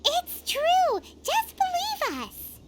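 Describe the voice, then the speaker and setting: Casting: tiny cheeky fairy girl for anime game, extremely high squeaky voice, wildly swooping delivery. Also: huge pitch swings, drawn-out words, very noisy, full character voice. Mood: eager, cheerful. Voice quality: squeaky, childlike. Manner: exclamatory, blunt.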